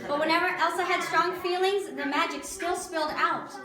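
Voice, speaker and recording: A woman's voice: very high-pitched, fairly even intonation, normal speed. A woman playing an earnest, romantic young princess, narrating her adventure expressively as a story for children. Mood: storytelling, wistful. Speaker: costumed storyteller performer. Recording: distant, echoey room with audience chatter.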